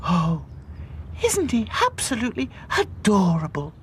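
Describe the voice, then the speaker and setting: A man's voice: extremely high pitch, wildly swooping pitch, slow, slight background noise, voice pushed up, exaggerated voice. Princess: a man doing a gushing dainty doll. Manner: gushing, breathy sighing.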